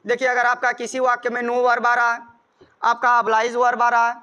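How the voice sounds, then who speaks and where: An Indian man teaches in a strikingly high, clear voice. His delivery stays fairly even in tone as he explains grammar step by step, repeating each point for students. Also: moderate pace, lo-fi sound. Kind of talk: grammar lesson, explaining rules with examples.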